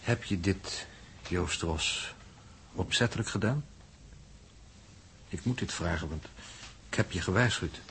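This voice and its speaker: Fatalistic space crewman, low, solemn, measured; noisy early-sixties radio drama. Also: vocal fry, a bit bright, full character voice. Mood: grave, probing. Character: grave, resigned male spaceship crewman, grandly fatalistic. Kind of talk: 1960s Dutch radio drama acting.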